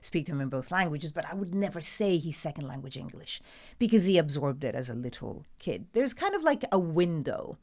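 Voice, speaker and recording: A middle-aged woman with an Italian accent speaks in a low voice that swoops up and down a lot. She talks casually and emphatically, as a broadcaster chatting about her work. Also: fairly quick. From Italy. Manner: animated, emphatic, laughs often. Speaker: guest, TV newsreader. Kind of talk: casual interview chat.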